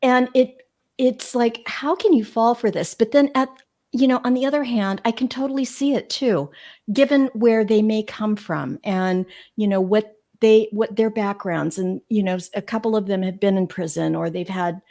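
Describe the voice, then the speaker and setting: A woman guest on a casual livestream panel, with a slightly scratchy voice at a middle pitch. She speaks hesitantly, stops and restarts her sentences, and laughs easily. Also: moderate pace, darkish tone.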